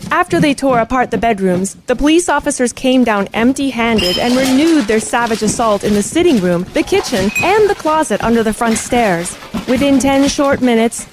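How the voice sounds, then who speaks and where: A woman's voice: fairly high pitch, close to an everyday voice. A woman acting as storyteller, reading scripted narration for a detective radio play. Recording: clicks, faint background noise.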